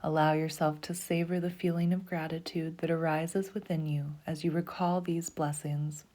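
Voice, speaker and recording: A woman leading a guided meditation in a soft, slow, soothing voice, often dropping to a breathy half-whisper. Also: low voice. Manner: slow, soothing, even pacing.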